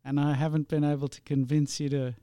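A man's voice: on the high side, flat delivery, fairly quick, dark tone, no echo, studio quality. A man originally from India who hosts the interview, chatting in a friendly, rambling way with many fillers. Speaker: host.

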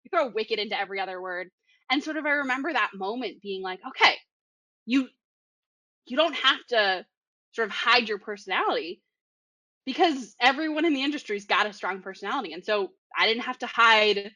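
A woman's voice: fairly high pitch, lively intonation, a little fast, sharp tone, no echo. A young woman from the Boston area with a Boston accent, chatting casually and laughing often. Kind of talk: casual podcast chat.

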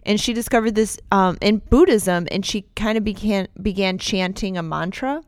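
A woman with a Buffalo accent and a nasal, smooth, clear voice co-hosts a casual chat. She talks in a chatty way, drops sarcastic asides and laughs easily. The sound is close and dry, with no room in it. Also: medium pitch, normal speed, no room sound. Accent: Buffalo, New York. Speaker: podcast co-host.

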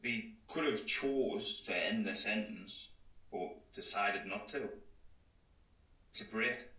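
A subdued man who has survived a dark time speaks slowly and haltingly, far off in an echoey space. His radio drama delivery is bright in tone, gentle and quietly hopeful. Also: lowish voice, dull audio. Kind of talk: slow radio drama dialogue. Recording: distant, echoey, dulled sound.

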